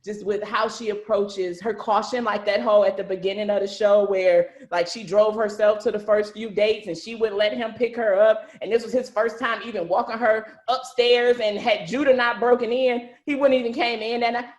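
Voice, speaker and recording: Woman, chatty host, informal storytelling, frequent check-ins, echoey video call. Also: a little high. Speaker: host and series writer. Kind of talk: casual video-call group chat. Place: indoors on a group video call. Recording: roomy echo over video call.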